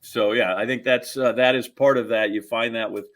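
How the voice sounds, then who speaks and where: A man's voice: medium pitch, fairly even intonation, moderate pace, a bit bright. A man, chatting casually and telling rambling, folksy anecdotes as a podcast co-host. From Wisconsin, United States.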